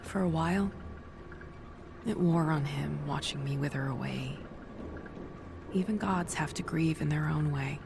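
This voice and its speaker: Low-voiced calm heroine, wry and kind. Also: female voice, unhurried, creaky, long pauses, background noise. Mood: gentle, somber. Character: calm, kind, wry female superhero doctor wielding thunder hammer. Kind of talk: naturalistic video game dialogue.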